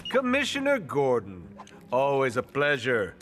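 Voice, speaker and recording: Self-assured villain, lively pitch. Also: male voice, a little slow. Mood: smug. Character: smooth-talking, self-assured male villain posing as hero. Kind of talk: video game cutscene acting.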